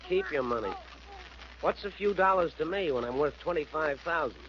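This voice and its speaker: A man's voice: on the high side, expressive, normal speed, natural voice. Man playing a sneering crook on muffled radio. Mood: gloating. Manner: sneering, threatening. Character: bitter, menacing, gloating male crook and husband. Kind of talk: 1940s radio acting. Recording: muffled old radio recording, faint noise.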